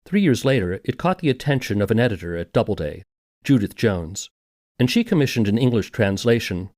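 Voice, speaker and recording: A man's voice: on the low side, very animated, brisk, a little mellow. A man hosting a podcast, reading scripted documentary narration. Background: music.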